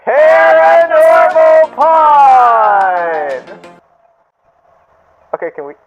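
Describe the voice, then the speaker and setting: A man's voice: very high-pitched, extremely slow, over loud music, heavily acted. A man playing a flustered, bumbling cohost of a spooky podcast in a comedy promo, stumbling over his words. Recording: muffled lo-fi audio.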